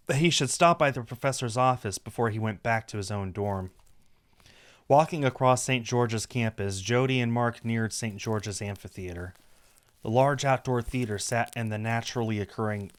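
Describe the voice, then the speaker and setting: A man's voice: fairly low pitch, fairly bright tone. A man reading a visual novel aloud on a stream, narrating the story with casual asides.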